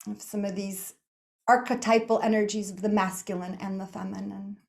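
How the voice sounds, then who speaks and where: A woman leading a guided meditation in a soothing, unhurried manner, speaking in short phrases with pauses between them. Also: mid-range voice, level tone, creaky, a little mellow. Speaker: yoga nidra meditation guide.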